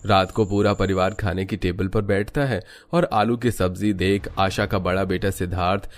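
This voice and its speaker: A man's voice: deep voice, fairly even intonation, normal speed. A man narrating a scripted children's cartoon story.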